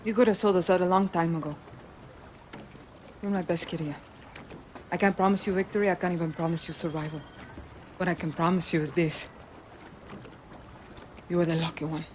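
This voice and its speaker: An actress playing a determined, persuasive guerrilla leader with a Latin American Spanish accent in a video game. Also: female voice, lowish voice, fairly even intonation, a little fast, long pauses, darkish tone, slight background noise, natural voice. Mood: earnest, firm. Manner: earnest, persuasive. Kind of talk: cinematic video game acting.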